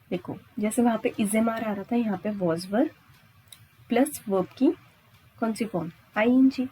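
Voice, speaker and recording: A woman's voice: fairly high pitch, mellow, a little hiss or hum, poor audio. An Indian woman teaching grammar step by step, repeating points and answering her own questions with frequent check-in tags. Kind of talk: exam-prep lesson at a board, explaining step by step.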